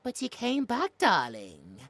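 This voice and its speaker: A man's voice: high voice, wildly swooping pitch, slow pace, creaky, fairly bright tone, no room sound, exaggerated voice. A man with a British accent playing a smug, cruel mad scientist in an audio roleplay, taunting, theatrical and clinically precise. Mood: mock-sweet.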